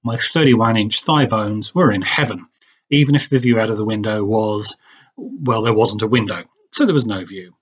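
A man's voice: lowish voice, normal speed, slightly rough. British man, slightly muffled travel narration. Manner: dry, wry understatement. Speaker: travel vlogger. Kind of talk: scripted voice-over narration.

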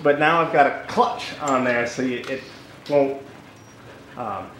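A middle-aged man talks through hands-on work in a casual, hesitant way. His pitch is average and he readily admits his slips. There is faint noise behind him in the workshop. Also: a little slow, fairly bright tone, rough recording. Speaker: maker presenting a workshop build. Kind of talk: casual explanation while working. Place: indoor workshop.

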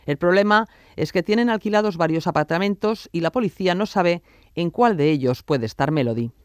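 Higher-pitched male documentary narrator. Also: lively intonation, fairly quick, clean tone, dry recording, studio quality.

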